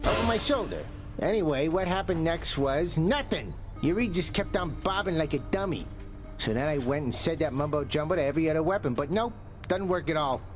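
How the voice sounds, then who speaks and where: A man's voice: fairly high pitch, lively intonation, brisk, soft background music, close to an everyday voice. A man doing a cheeky chummy skeleton. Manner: breezy, slangy, teasing.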